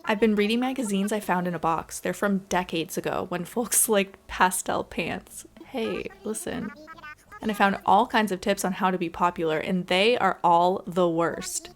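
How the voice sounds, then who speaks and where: A woman's voice: medium pitch, normal speed, lightly acted. A woman voicing a blunt, opinionated game villager in a theatrical dramatic reading. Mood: brash, complaining. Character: blunt, sassy popular game villager, acted in dramatic dialogue.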